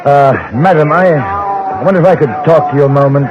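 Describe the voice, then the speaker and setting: An actor in an old-time radio drama playing a courteous frontier newspaper correspondent, narrating and talking in a measured, literary, polite way. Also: male voice, a little high, noisy background, close to an everyday voice. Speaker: narrator and lead character. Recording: muffled old radio recording with loud music.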